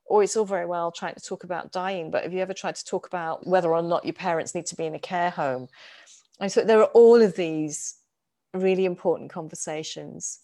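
Middle-aged British-born woman, author guest; lowish voice, halting, reflective. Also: mellow. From United Kingdom. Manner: thoughtful, frequent restarts and repetitions. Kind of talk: casual conversation.

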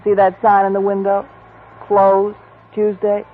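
An actress playing a detective's pleading, coaxing old flame, in 1940s radio acting style. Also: female voice, mid-range voice, completely flat delivery, a little slow, natural voice. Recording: tinny old radio broadcast with faint hiss.

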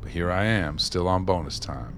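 A man's voice: sits low, moderate pace, natural voice. A man playing a weary, reflective survivor in a radio play, speaking somberly. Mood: resigned resolve. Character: haunted male survivor, grateful, weary, reflective. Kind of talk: radio drama acting. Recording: music under the voice.

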